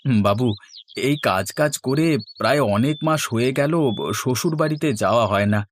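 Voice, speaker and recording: A man's voice: mid-range voice, moderate pace, a little hiss or hum, lightly acted. Man acting a humble, coaxing working husband. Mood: deferential. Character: humble, deferential working husband, eager to please. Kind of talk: Bengali fairy-tale cartoon dubbing.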